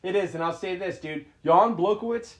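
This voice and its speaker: A man's voice: high voice, moderate pace, fairly bright tone. An American man co-hosting a podcast in heated casual sports banter, brash, profane and argumentative. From United States. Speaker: podcast co-host.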